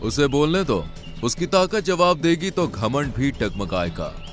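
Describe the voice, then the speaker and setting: A man voicing a wise, patient lion king in an animal cartoon, with a measured, admonishing manner. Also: mid-range voice, sing-song, soft background music. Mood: calm, knowing.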